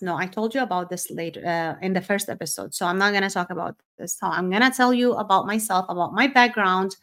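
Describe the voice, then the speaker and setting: Middle-aged woman with a Syrian Arabic accent. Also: mid-range voice. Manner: informal, off-the-cuff. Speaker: podcast host. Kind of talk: unscripted personal monologue.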